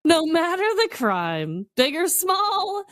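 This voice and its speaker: A woman's voice: very high voice, huge pitch swings, slow, fairly bright tone. A woman co-hosting a podcast in casual, conversational chat. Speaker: podcast co-host.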